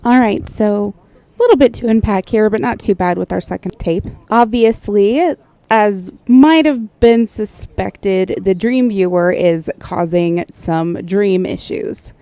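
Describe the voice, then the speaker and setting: Woman doing casual explainer commentary, soft mellow tone, dry close mic. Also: medium pitch, measured pace, dry recording. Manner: conversational, explanatory. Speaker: host, sleep technologist.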